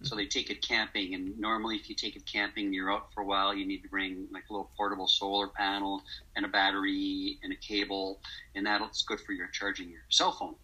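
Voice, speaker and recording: Low, flat, sharp-edged raspy man. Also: brisk, low-quality recording. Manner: matter-of-fact product pitch. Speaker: company co-founder, guest. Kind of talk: casual podcast interview.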